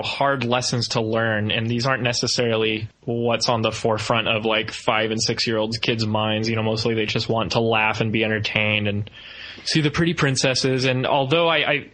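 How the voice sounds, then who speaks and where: A man with a bright, forward voice. His pitch sits in the middle of the male range. He talks as a podcast co-host, casually laying out his opinions in a film review. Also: little pitch movement, normal speed, slight background noise, rough recording.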